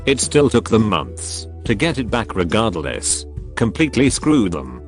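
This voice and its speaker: A man narrating a compilation of reader stories in a dry, flat-toned reading. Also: on the low side, a little slow, faint music behind. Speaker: narrator reading online posts.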